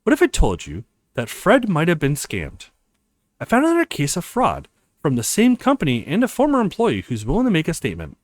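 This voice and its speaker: A brisk, insistent investigator voiced by a male reader, pressing and persuasive. The pitch varies strongly in this dry reading of visual novel dialogue. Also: wildly swooping pitch, no room sound.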